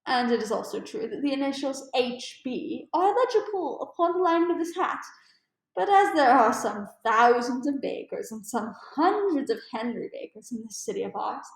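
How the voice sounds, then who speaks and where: A woman's voice: high-pitched, very animated, normal speed, reverberant. A woman voicing a keen, amused male detective with precise, formal and emphatic speech in a storybook read-aloud. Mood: keen, instructive.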